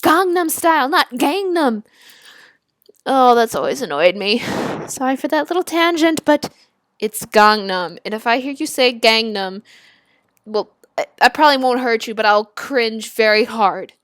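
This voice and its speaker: A young woman casually reading a story aloud, with chatty, expressive, giggly asides as she reacts to it. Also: a little high, a little slow, no room sound, clean studio sound. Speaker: YouTuber reading and reacting to fan fiction.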